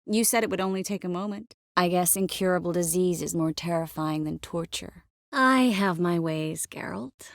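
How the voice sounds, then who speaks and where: A woman voicing a witty, caring, self-assured young sorceress in a video game, often dropping to soft, intimate murmurs. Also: on the low side, normal speed, no room sound, studio quality, natural voice. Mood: conversational, composed. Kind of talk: scripted game character dialogue. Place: close studio recording.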